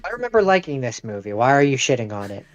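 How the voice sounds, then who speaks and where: A man's voice: medium pitch, big pitch swings, fast, no room sound. A man co-hosting a podcast, talking casually about films. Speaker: podcast co-host. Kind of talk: casual chat reviewing movies.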